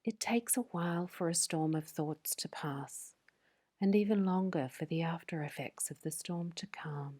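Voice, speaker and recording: A woman whispering a guided sleep meditation in a soft, breathy voice, slow and soothing. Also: on the low side.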